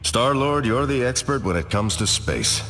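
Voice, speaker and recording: A man voicing a steady, fatherly heroic soldier in a video game, earnest and measured. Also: medium pitch, a little hiss or hum. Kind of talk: video game character dialogue.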